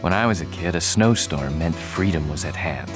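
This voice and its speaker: A middle-aged American man narrating a documentary in a reflective, storytelling way with deliberate emphasis. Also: deep voice, lively intonation. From United States. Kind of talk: scripted documentary narration, reminiscing. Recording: voice-over with loud music underneath. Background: music with guitar.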